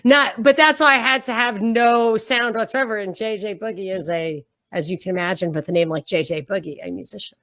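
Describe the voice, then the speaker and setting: Middle-aged woman, mid-range voice, lively and self-mocking guest. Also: medium pitch, brisk, hardly any pauses. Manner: animated, laughing, self-mocking. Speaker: podcast guest. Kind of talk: casual chat.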